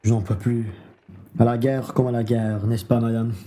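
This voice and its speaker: A man's voice: medium pitch, level tone, normal speed, warm tone, lightly acted. A man playing a hungry, blunt, wheedling bourgeois husband in a French radio play, speaking in an exclamatory, familiar way. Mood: eager flattery.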